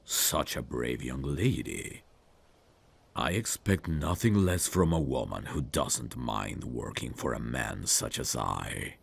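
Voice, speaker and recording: Very deep, gravelly vampire count. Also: male voice, heavily acted. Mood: courtly, curious. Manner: slow, courtly, old-fashioned, intimate phrasing. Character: suave male vampire count, courtly, seductive, quietly menacing. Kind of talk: ASMR roleplay acting. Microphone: close dry studio mic.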